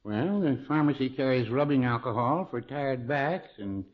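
A man acting a mild, fussy older small-town pharmacist in a 1950s radio comedy, dry, folksy and deadpan. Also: medium pitch, moderate pace, natural voice. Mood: dryly helpful. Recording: dull old radio recording.

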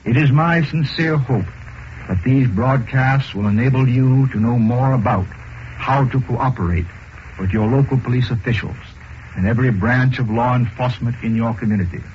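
A man's voice: mid-range voice, normal speed, darkish tone. Formal American man on dull old radio. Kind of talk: formal prepared radio speech. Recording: dull, noisy 1940s radio recording.

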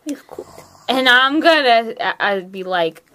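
A woman's voice: a little high, lively intonation, unhurried, bright, forceful tone. A woman playing in a tabletop game, chatting casually and jokingly with the group. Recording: noisy group recording.

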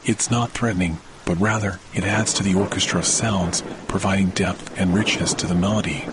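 A man hosting a guided sleep meditation, speaking in a slow, soothing way with long pauses. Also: low voice, fairly even intonation, noisy background. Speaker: podcast host and meditation guide. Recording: loud rain and thunder behind voice. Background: rain and thunder sounds.

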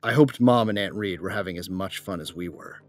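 A man's voice: low-pitched, fairly quick, close to an everyday voice. A man playing a cocky, battle-loving soldier who narrates a space battle in colloquial, irreverent first person. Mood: cocky, excited. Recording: music behind the voice.

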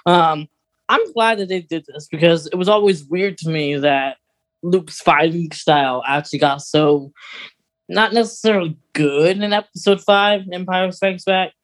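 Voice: mid-range voice, measured pace. A podcast co-host talking in a casual, conversational way about fan lore. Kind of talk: casual chat about Star Wars lore.

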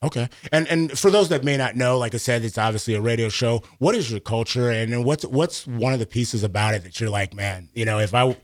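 Male radio host, mid-pitched voice, dry close studio sound, conversational interview delivery. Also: brisk, few pauses, no room sound. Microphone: close studio mic.

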